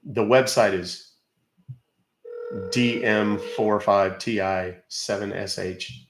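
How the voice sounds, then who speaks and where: A man's voice: lowish voice, steady tone, slow, reverberant, noisy background. A man hosting a live stream who explains things casually and talks straight to his viewers. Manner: explanatory, addressing viewers directly. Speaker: livestream host.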